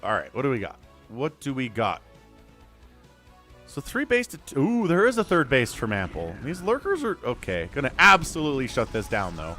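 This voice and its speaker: Slightly hoarse, lively male game caster. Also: medium pitch, moderate pace, soft background music. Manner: excitable, swings between calm analysis and loud exclamations. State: slightly hoarse and stuffy from a cold. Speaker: solo game commentator. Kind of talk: live esports play-by-play commentary.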